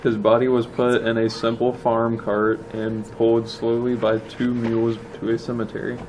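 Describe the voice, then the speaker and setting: A man reading a children's book aloud in a plain, measured manner. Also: medium pitch, completely flat delivery, darkish tone, rough recording. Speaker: storybook reader. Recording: faint background noise.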